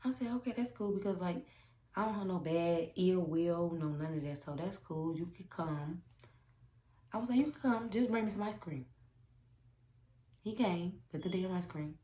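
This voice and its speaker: A young woman with a low voice chats casually as a storytime vlogger. She is sassy and emphatic, often repeating herself to make a point. The room around her adds a noticeable echo. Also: moderate pace, mellow. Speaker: vlogger telling a personal story. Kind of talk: casual storytime chat to camera. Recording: roomy echo.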